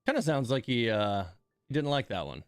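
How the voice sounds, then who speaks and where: A man's voice: medium pitch, sing-song, moderate pace, clean tone, soft background music. A man giving casual live stream commentary on a game in a rambling, amused, conversational way.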